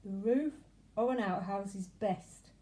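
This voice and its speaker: A woman voicing quarrelsome, opinionated bees in a children's story, shouting and buzzing fiercely. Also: mid-range voice, unhurried, close to an everyday voice. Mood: insistent.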